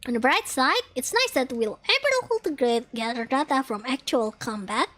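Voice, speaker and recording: A woman voicing a composed young engineer student from an anime game, measured and explanatory. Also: high voice, expressive, bright, forceful tone, voice pushed down. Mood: calm, measured. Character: composed young female engineer student, matter-of-fact senior.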